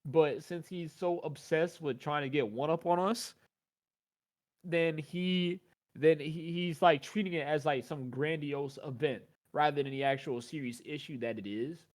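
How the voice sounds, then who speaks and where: A man's voice: a little high, measured pace. A man co-hosting a casual commentary chat, rambling through long points full of fillers and asides. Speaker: co-host.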